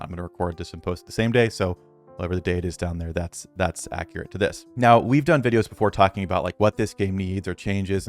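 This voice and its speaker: A man who makes videos about a mobile strategy game, talking casually and earnestly to his viewers, rambling with many restarts and fillers. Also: deep voice.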